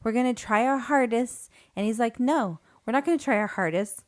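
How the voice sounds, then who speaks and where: A woman's voice: on the high side, a little fast, darkish tone. A woman co-hosting a podcast in casual chat, chatty, laughing between words and hedging with fillers. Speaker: podcast co-host.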